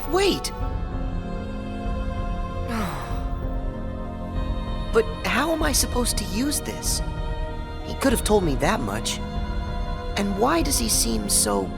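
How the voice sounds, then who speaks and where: A bright, naive teenage boy hero in a game cutscene. His pitch jumps around in animated, questioning phrases, with some creak in the voice. Music plays behind him. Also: slow pace, pauses often, full character voice. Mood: puzzled. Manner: wide-eyed, questioning, earnest. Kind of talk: video game cutscene acting.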